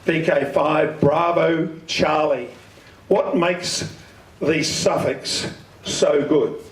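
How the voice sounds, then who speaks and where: Older man, fairly high-pitched voice, deliberate emphatic teaching, roomy echo. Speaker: speaker giving an amateur radio talk. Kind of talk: instructional lecture with questions to the audience. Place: community centre meeting room. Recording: echoey hall, distant microphone.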